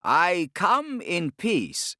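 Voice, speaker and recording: A man voicing a smooth, unbothered, menacing Spanish Grand Inquisitor in an audiobook, speaking slowly and deliberately with a commanding manner. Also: sits high, big pitch swings, bright, forceful tone, no echo, clean studio sound, voice pushed up, exaggerated voice. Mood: smooth menace.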